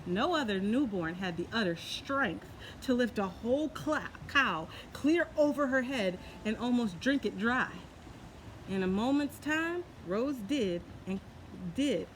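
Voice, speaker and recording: A woman reading a children's tall tale aloud in an expressive, folksy way, adding her own asides. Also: fairly high pitch, slight background noise, rough recording. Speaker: storyteller. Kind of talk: children's story read-aloud.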